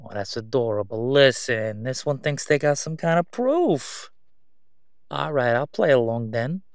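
A man playing a slick middle-aged crime boss in a roleplay audio drama, with a sarcastic, condescending, smooth-talking delivery. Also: medium pitch, lively intonation, measured pace, no echo. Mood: mocking, amused. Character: slick middle-aged male crime boss, mocking, sly, self-assured.

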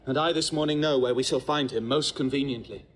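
An actor playing an awed, devout soldier on watch, in lyrical classical drama style. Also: male voice, medium pitch, fairly quick, natural voice. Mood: reverent. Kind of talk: classical stage drama acting.

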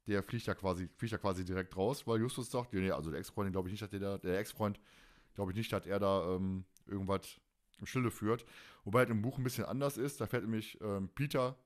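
A man co-hosting a podcast, recapping a story in casual, rambling colloquial talk that often doubles back on its phrases. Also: on the low side. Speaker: podcast co-host. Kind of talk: casual podcast chat, recapping an audio drama.

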